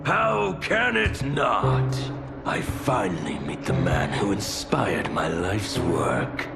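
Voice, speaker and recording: Gravelly, theatrical chaos villain. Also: male voice, sits low, big pitch swings, exaggerated voice. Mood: mock-grateful, gleeful. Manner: theatrical, drawn-out delivery. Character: gleeful, theatrical male cleric of chaos villain. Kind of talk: video game cutscene acting. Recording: loud game music underneath.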